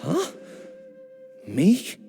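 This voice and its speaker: A man's voice: high-pitched, wildly swooping pitch, very slow, creaky, warm tone, faint music behind, heavily acted. An actor playing an anxious, guilt-ridden young man in a fantasy video game, earnest and hesitant in his speech. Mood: distressed.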